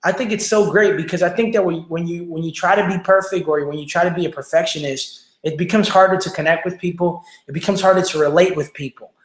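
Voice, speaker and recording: A fast-talking man with a Mexican accent hosts a motivational livestream from his phone. His voice sits high for a man and carries a roomy echo from the room around him, and he keeps checking in with his viewers as he pushes each point. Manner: energetic, repetitive, fast-talking, asks "right?" and "okay?" after each point. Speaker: livestream show host. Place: home room without studio lighting. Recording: echoey room.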